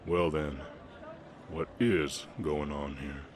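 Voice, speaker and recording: A man playing a kind, protective, shy orc ranch hand with a Southern US accent, speaking gently and plainly in an intimate roleplay. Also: rumbling low, fairly even intonation, slow, a little hiss or hum. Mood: quietly menacing. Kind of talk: intimate ASMR romance roleplay.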